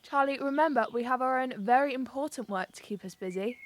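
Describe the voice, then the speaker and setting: A woman playing a caring, sensible young English wartime worker in an audio drama, gentle and reassuring. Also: high voice, fairly quick, close to an everyday voice. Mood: brisk.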